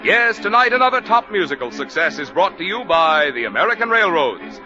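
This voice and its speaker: A man announcing a 1940s radio program, delivering the sponsor read in a booming, emphatic way. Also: very animated, brisk. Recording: lo-fi 1940s radio broadcast with music.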